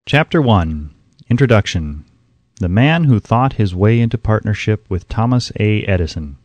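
A man reading an audiobook as scripted narration, in a measured, emphatic way, close to the microphone. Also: on the low side, animated, darkish tone, dry recording.

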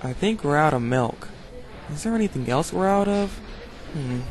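A man's voice: on the high side, lively intonation, moderate pace. A man voicing an easygoing, clueless, mild-mannered teenage schoolboy in an anime fan dub. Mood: mild, thoughtful. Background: background music.

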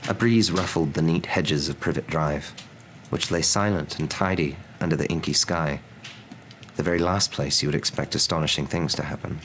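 A young adult man reading a novel aloud in a hushed ASMR storybook narration. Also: rumbling low, moderate pace, rough recording. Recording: noticeable background noise.

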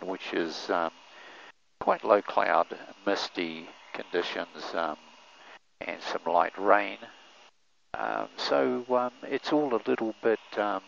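An older man, a pilot giving relaxed cockpit commentary through a headset mic, with many fillers. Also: fairly low pitch, slow pace, creaky, slight background noise. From New Zealand. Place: small aircraft cockpit in flight. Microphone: aviation headset boom mic. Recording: muffled intercom audio with engine drone.

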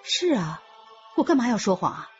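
A woman's voice: mid-range voice, sing-song, moderate pace, muffled recording, faint music behind, lightly acted. An actress playing a blunt, assertive woman in a radio drama, pointed and confronting, who sees through lies. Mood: knowing, pointed.

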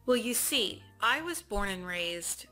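A woman's voice: medium pitch, animated, takes it slowly, sharp tone, soft background music. A woman voicing a candid young demoness telling her story in a cartoon comic. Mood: candid, wistful.

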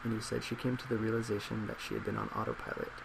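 A man in his twenties speaking in a soft ASMR role play as a psychologist, hesitant, measured and reassuring, recorded in a small office. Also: lowish voice, little pitch movement, vocal fry. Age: 20s. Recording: loud laptop fan noise.

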